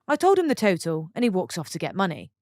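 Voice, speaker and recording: A woman reading online stories aloud for her channel, stopping for chatty, expressive asides to her viewers. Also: on the low side, fast talker, no room sound, clean studio sound. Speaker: story channel narrator.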